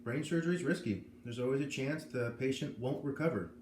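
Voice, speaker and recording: A man's voice: medium pitch, brisk, a little mellow, close to an everyday voice. A man voicing a grave, kindly doctor who explains things with care, in a read-aloud of a children's novel. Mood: somber. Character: grave, kindly male doctor with greying hair. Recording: roomy echo, noticeable background noise.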